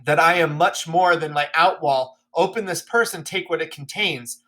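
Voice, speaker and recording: A man's voice: a little high, normal speed, bright, forceful tone. A man voicing a loyal nobleman in disguise who gives earnest, urgent instructions.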